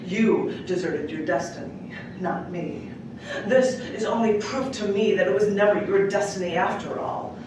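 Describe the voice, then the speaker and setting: A very reverberant, noisy room recording carries a woman's voice from some way off. She performs spoken-word poetry at an open mic in a mid-pitched voice. Her phrasing is deliberate and dramatic, with casual asides. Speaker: poet reading at an open mic. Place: public library room at a live event. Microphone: distant microphone. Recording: echoey room from far off, clearly noisy.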